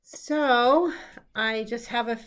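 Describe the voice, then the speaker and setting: A middle-aged woman hosting a casual live-stream chat as a quilting teacher, a little hoarse from a cold, chatty with lots of ums and throat clearing. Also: a little high, slow pace, a bit bright. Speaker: quilting teacher and show host. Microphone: computer setup, live stream.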